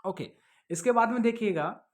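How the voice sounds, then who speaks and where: A man's voice: high-pitched, moderate pace. A man answering viewers' questions in a casual, advising way, often checking that the listener follows. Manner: conversational, advising, often checks with "okay?" and "understood?". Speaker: host answering viewers' questions about police jobs. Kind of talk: casual explanatory Q&A to camera.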